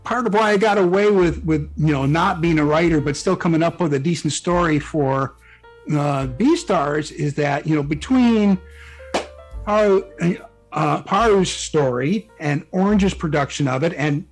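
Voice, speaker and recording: A man's voice: on the high side, unhurried, soft background music, low-quality recording. A man speaking casually as an interview guest, rambling a little in a hesitant, self-deprecating way. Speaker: guest writer.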